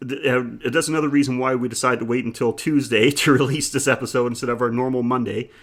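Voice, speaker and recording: A man's voice: mid-range voice, fairly even intonation, fast. A man co-hosting a podcast, chatting casually about films in a jokey, bantering way. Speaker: podcast co-host. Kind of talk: casual film discussion chat.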